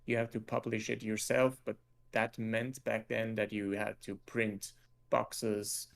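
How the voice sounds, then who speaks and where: A man's voice: on the low side, level tone, vocal fry. A man in his forties from Switzerland, a game developer talking hesitantly in a casual interview over a video call. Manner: hesitant, halting with restarts and laughs.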